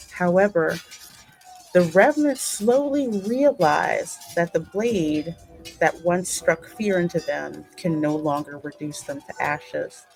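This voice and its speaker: A woman hosting a game playthrough, reading the story in a deliberate, dramatic way with casual asides. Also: sits low, vocal fry, darkish tone, low-quality recording. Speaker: gaming channel host and narrator.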